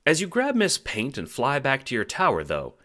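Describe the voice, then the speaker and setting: A man reading second-person audio drama narration in an animated storytelling manner, with casual swearing. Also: mid-range voice, moderate pace.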